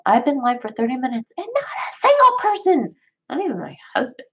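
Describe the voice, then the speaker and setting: A woman's voice: sits high, huge pitch swings, brisk, bright, forceful tone. A woman with a Southern US accent, chatting casually and rambling on a livestream, with lots of fillers and restarts. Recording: dull lo-fi sound.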